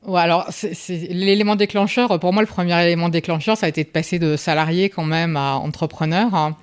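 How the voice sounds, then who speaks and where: A woman's voice: on the low side, fairly quick, clear, resonant tone. A woman in her forties, a startup founder, talking casually and reflectively as an interview guest, often tagging her sentences. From Paris, France. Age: 40s. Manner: relaxed, reflective, tags sentences with "hein".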